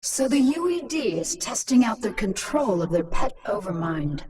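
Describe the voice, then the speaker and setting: A woman's voice: mid-range voice, very animated, normal speed, a little mellow, distant mic, natural voice. A woman playing a ruthless alien swarm queen in a video game, commanding and scornful in manner. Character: ruthless alien swarm queen, transformed human, imperious and taunting.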